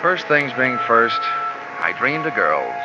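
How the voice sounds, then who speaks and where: A wisecracking man narrates in an old radio drama, with a hard-boiled edge. His voice sits at an ordinary middle pitch. It comes through a muffled lo-fi broadcast with clear music playing under it. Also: sing-song, takes it slowly. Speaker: insurance investigator narrator and lead.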